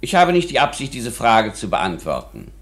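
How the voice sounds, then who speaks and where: An actor playing a self-important, evasive older lawyer in a radio drama, formal and condescending. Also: male voice, fairly low pitch, wildly swooping pitch, moderate pace, a bit bright, full character voice.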